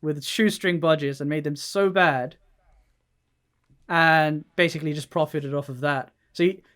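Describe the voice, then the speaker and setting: A man with a fairly high voice talks casually as a podcast co-host. He explains things in an animated, informal way and swears freely. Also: measured pace. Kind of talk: casual podcast chat about games and films.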